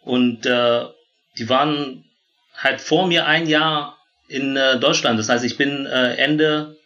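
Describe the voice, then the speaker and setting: Man, thirties, interview guest telling his own story; bright medium-pitched voice, halting conversational delivery. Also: fairly even intonation, lo-fi sound. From born in Vietnam, grew up in Germany. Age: 30s.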